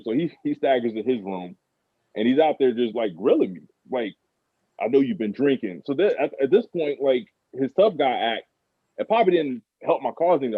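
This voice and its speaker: A man's voice: fairly high pitch, breathy edge, dry recording. An American man in his thirties telling stories casually and informally as a podcast guest. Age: 30s. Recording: phone-like thin radio sound.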